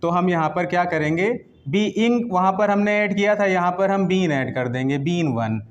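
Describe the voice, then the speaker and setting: A man's voice: sits high, measured pace, lo-fi sound. A man teaching an English grammar lesson, explaining step by step and repeating key points in a didactic way.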